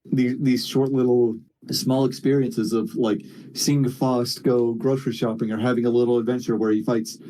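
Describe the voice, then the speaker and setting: A man chatting casually about video games in a halting, slangy way, swearing freely. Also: medium pitch, little pitch movement, dark tone, low-quality recording.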